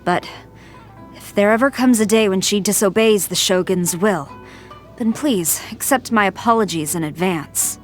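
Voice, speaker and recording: Woman doing a stern loyal army general lady, game voice lines, formal n measured, earnest, music in the back. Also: medium pitch, lightly acted. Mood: formal, resolute. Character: stern, loyal, stone-faced female military general. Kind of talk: scripted game character voice lines. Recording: music behind the voice.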